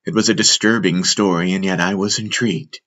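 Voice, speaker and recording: A man narrating a fantasy audiobook as a dramatic storyteller, solemn and deliberate. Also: low voice, steady tone, fairly bright tone.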